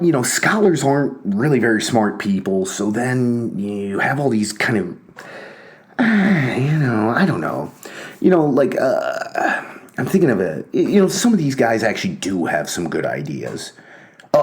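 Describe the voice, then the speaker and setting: A man talking off the cuff in a rambling, halting way, full of fillers and restarts, with a wry self-mocking streak. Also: on the low side. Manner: wry, laughs at himself. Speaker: commentator on books and ideas. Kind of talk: casual monologue.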